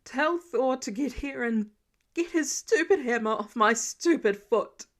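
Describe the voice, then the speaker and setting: A woman's voice: high voice, expressive, unhurried. A dramatic grown man in charge voiced by a female reader, flustered and theatrical. He is an inventor and boss in an audiobook tale. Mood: pained, frantic.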